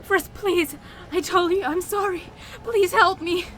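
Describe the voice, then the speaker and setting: A woman's voice: very high voice, measured pace, a bit bright. A woman playing a desperate, defiant female monster fighter in an audio drama. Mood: desperate, pleading.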